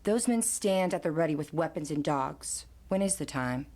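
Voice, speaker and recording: A woman's voice: deep voice, lively intonation, moderate pace, a little mellow. A woman playing a stern, proud middle-aged mother who leads a tribe, speaking with authority in an audio drama. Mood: accusing. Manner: commanding, authoritative.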